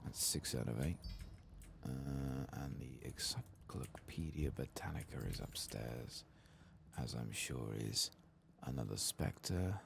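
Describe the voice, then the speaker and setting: A soft-spoken man giving low-key, unhurried ASMR commentary as he streams a video game, sometimes dropping to a whisper. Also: vocal fry, a little hiss or hum.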